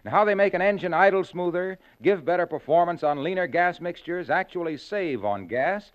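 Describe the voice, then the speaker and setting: A man announcing a scripted sponsor ad with an enthusiastic sales pitch. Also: animated, moderate pace, clean tone. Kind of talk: scripted radio ad. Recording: dull vintage radio recording.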